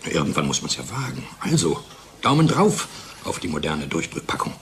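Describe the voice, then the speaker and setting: A man's voice: low-pitched, big pitch swings, moderate pace, a little hiss or hum. Giddy, swooping male drug-company colleague. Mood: conspiratorial. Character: giddy male pharmaceutical colleague, high on his own pills. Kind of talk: radio play acting.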